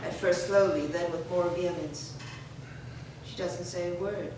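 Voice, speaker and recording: A woman's voice: fairly low pitch, fairly even intonation, unhurried, noisy background. Woman reading screenplay directions, echoey. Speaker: reader of a screenplay. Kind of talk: staged reading of stage directions. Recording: echoey room from far off.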